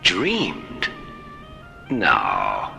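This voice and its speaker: A man's voice: rumbling low, huge pitch swings, drawn-out words, creaky, sharp tone, exaggerated voice. An actor playing a blunt, incredulous space crewman in an old-time science fiction radio drama. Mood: amazed, questioning. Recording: long reverb, faint music behind.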